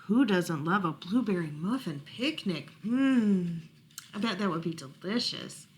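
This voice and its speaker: A woman reading children's stories aloud as a library storytime host, animated and putting questions to her young listeners. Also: on the low side, a little slow, a little hiss or hum.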